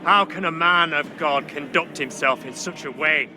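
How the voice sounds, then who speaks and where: An actor playing an authoritative seventeenth-century judge in a video game, speaking formally and with measured, declamatory weight. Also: male voice, fairly high pitch, music in the background, lightly acted. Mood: grave, indignant. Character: solemn, authoritative 17th-century witch-trial judge. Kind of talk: dramatic video game acting.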